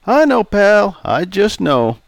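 Male narrator as jovial older customer, folksy teasing, gravelly, dry close sound. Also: high-pitched, very animated, takes it slowly, no room sound, voice pushed up, full character voice.

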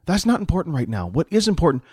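A man's voice: fairly high pitch, sing-song, fast, a little mellow, slightly rough, no room sound, clean studio sound, voice pushed up. A man voicing a pragmatic, money-minded hired hand in a fantasy audiobook, explaining things in impatient colloquial talk.

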